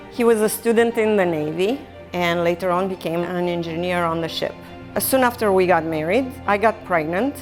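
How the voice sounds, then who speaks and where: Reflective woman. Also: medium pitch. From Romania. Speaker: artist and gallery owner. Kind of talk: personal storytelling in a documentary interview.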